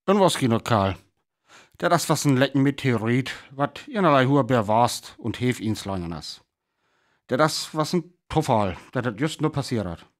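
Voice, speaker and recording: Actor as an animated North Frisian old professor. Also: male voice, mid-range voice, moderate pace, natural voice. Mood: unconcerned. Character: dismissive, self-assured older male astronomy professor. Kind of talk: radio play acting.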